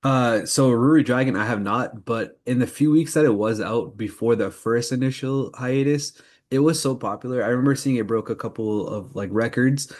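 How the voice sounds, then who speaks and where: A man's voice: mid-range voice, moderate pace, darkish tone. A man co-hosting a podcast, leading a casual news roundup in informal speech. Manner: informal, leads the segments. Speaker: podcast co-host. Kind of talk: casual news roundup chat about anime.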